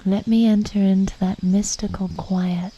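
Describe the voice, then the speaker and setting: A woman leading a guided meditation in a calm, unhurried, reflective manner, with soft, breathy phrase endings and pauses between thoughts. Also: mid-range voice, highs cut off.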